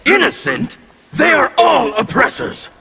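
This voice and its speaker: Gravelly menacing rebel zealot, slow, wildly swinging pitch, snarled game-intro lines over loud noise. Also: male voice, wildly swooping pitch, heavily acted. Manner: snarling, theatrical, harsh emphatic delivery. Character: menacing male revolutionary zealot, intimidating. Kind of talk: fighting game intro dialogue.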